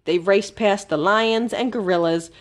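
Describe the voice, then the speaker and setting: A woman storyteller reading a children's book aloud expressively, with careful, dramatic emphasis and pauses. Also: medium pitch. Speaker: library storyteller.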